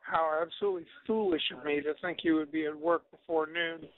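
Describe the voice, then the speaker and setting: A man's voice: fairly high pitch, fairly even intonation, brisk. An older man leaving casual, sardonic voicemail messages, wisecracking and grumbling over a telephone. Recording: tinny phone-line voicemail recording.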